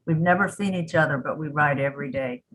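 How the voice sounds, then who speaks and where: A woman's voice: deep voice, quick pace, a bit bright, rough recording. A woman in a conversation class, speaking in a hesitant, casual way with light laughs.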